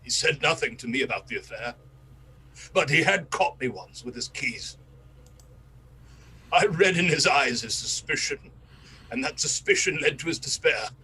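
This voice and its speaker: Cornered traitor colonel played by a man, English, bright forceful voice, agitated and pleading, radio drama, noisy recording. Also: medium pitch, lively intonation, moderate pace, pauses often. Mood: broken, remorseful. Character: disgraced English colonel, cornered traitor, anguished, self-pitying. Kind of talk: radio play acting.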